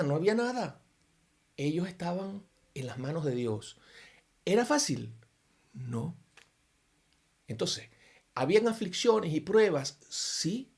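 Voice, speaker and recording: Gravelly-voiced Venezuelan preacher man. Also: sits high. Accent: Venezuelan Spanish. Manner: earnest, many fillers and restarts, rhetorical questions. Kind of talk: informal sermon-style reflection.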